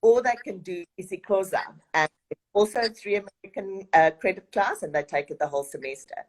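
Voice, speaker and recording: A woman's voice: mid-range voice, fairly quick, poor audio. A middle-aged South African woman whose first language is Afrikaans, answering interview questions with many fillers and restarts. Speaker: resident director of study abroad program.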